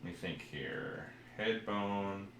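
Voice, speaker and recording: A man's voice: fairly low pitch, slow, a bit bright. A man co-hosting a casual podcast about retro games, talking in a rambling way as he searches for details. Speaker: podcast co-host. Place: indoor room, recorded live on stream. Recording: roomy echo, clear background noise.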